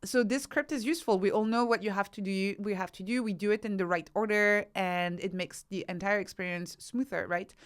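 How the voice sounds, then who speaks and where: A woman's voice: medium pitch, fairly even intonation, fairly quick, few pauses. A woman giving explanatory interview answers as a podcast guest, teaching through rhetorical questions and examples. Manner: instructive, uses rhetorical questions and acted-out examples. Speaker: podcast guest, researcher and author.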